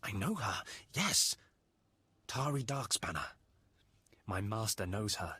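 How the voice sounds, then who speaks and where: A fairly deep-voiced male Sith schemer, eager and obsessive. He is theatrical and insistent in the style of game drama. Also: lively intonation, takes it slowly, sharp tone, breathy edge, no echo, heavily acted. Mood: eager, scheming. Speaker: game character, Sith conspirator. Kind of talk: dramatic video game voice acting.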